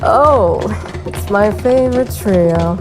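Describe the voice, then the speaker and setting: A woman's voice: mid-range voice, slow, over music. A woman acting a cocky, sarcastic captive in an audio drama, teasing and narrating in a wry, slangy way. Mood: playful, cocky.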